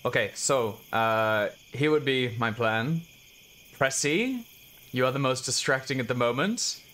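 A man's voice: medium pitch, lively intonation, a little slow, fairly bright tone. Young man planning moves in a game. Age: young adult. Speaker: player in a tabletop game. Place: small room at home. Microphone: video call setup.

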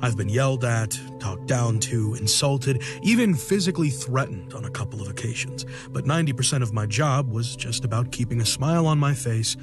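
Man playing male realtor, breezy and self-satisfied, matter-of-fact storytelling, horror audio drama, mid-pitched, clear music behind. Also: fairly quick, hardly any pauses, natural voice. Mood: breezy, confiding. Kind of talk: first-person horror audio drama narration.

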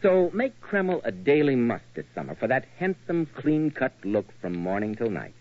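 A man's voice: medium pitch, big pitch swings, normal speed, no room sound. A man with an American accent announcing and reading advertisements in polished 1940s radio style. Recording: muffled old radio recording. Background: music.

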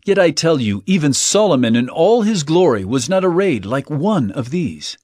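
An actor playing an earnest male teacher of parables in a dramatized audio Bible, speaking in an emphatic, measured, declamatory way. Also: on the high side, sing-song, a little mellow, no room sound, clean studio sound. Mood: reassuring, earnest.

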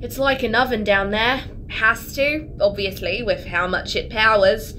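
A plain-spoken female engineer on a space base , played by an actress. She is wry and resentful, with blunt, dry delivery at a medium pitch. A faint rumble sits behind this sci-fi audio drama. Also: fairly even intonation, unhurried, sharp tone, low-quality recording. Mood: matter-of-fact. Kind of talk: naturalistic audio drama acting. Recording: faint rumbling background noise.

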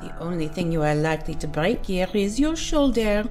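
A coldly menacing French madame. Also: female voice, fairly low pitch, very animated, moderate pace, music in the background. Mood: cool menace. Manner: smooth, formal, quietly threatening. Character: cold menacing French auction-house madame. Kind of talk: audio drama acting.

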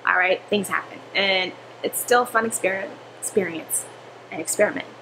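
A woman's voice: mid-range voice, normal speed, noisy background. A young woman vlogging casually in her home kitchen, chatty and laughing at her own slips, recorded by a camera on the counter. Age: young adult. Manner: chatty, self-correcting, laughs at herself.

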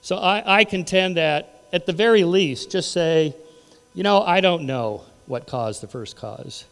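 A man in his sixties arguing his case in a debate, animated and conversational, at a podium mic in a large auditorium. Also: fairly high pitch, a little slow, gravelly, clean tone. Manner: animated, conversational, gestures widely. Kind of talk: formal debate argument. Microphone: podium mic on a stand. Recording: long hall reverb.

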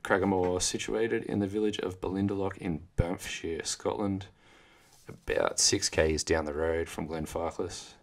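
A middle-aged Australian man co-hosting a casual whisky podcast, laid-back and swearing freely, reading facts in a low mumble. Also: fairly even intonation, measured pace, vocal fry. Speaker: podcast co-host. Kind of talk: casual chat over whisky tasting. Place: small home studio with barrel backdrop. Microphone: boom studio mic with headphones.

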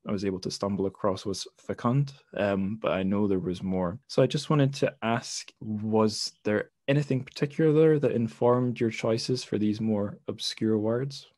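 A man's voice: lowish voice, moderate pace, hardly any pauses, darkish tone, no echo, studio quality. A man hosting a podcast interview over a video call, casual and hesitant, with many fillers and restarts. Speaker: podcast host. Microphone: home mic on a video call.

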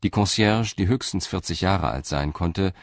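A man narrating a scripted crime story as a radio drama narrator, in a sober, measured manner. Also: low-pitched, no echo, clean studio sound.

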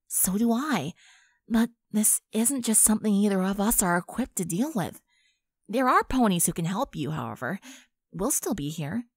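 An actress plays a young woman who is a loyal, supportive friend. Her mid-pitched voice is gentle and reassuring, recorded very dry, in the earnest style of a fan audio drama. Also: no echo.

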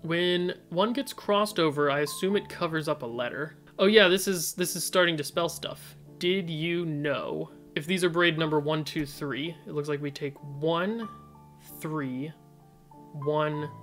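A man's voice: fairly high pitch, fairly bright tone. Young man, casual puzzle streamer, tentative thinking aloud, faint music behind. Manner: reads chat suggestions aloud, tentative musing. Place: indoor room at desk.

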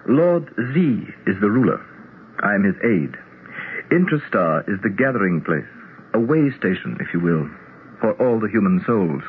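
An actor playing a courtly, self-assured immortal alien prince in a 1970s radio drama, speaking formally and with stately certainty. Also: male voice, fairly low pitch, sing-song, normal speed. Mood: lofty, calm. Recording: tinny, noisy 1970s radio broadcast.

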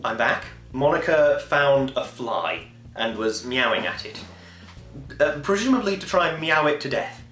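A man's voice: medium pitch, big pitch swings, measured pace, a bit bright, roomy sound, soft background music. A man in his twenties chatting casually to camera in a rambling, enthusiastic way, swearing now and then. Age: 20s. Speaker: podcast host. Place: small home room, indoors.

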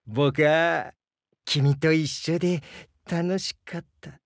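A man playing a gentle, bashful crocodile diving instructor in a Japanese game, earnest and hesitant, easily flustered. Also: on the high side, fairly even intonation, slow, dry recording, studio quality. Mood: gentle, wistful. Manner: soft-spoken, hesitant, earnest, stammers when flustered. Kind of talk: Japanese game character voice lines.

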